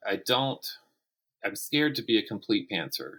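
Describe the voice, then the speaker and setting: A man's voice: lowish voice, very animated, normal speed, sharp tone. A man speaking as a guest author in a casual interview, often restarting and repeating words as he thinks aloud.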